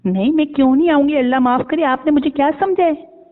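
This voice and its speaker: A man's voice: extremely high pitch, a little fast. A man playing a coy, pushy, easily offended young woman with a Pakistani accent in an improvised phone prank. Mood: indignant. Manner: hesitant, needling, repeatedly questioning. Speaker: prank caller. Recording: echoey hall sound, dull phone-call audio.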